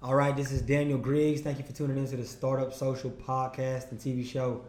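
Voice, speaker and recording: A man hosting a casual interview podcast, his voice hoarse, stumbling and repeating words as he starts his questions. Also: mid-range voice, flat delivery, fairly quick, darkish tone, echoey room. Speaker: podcast host.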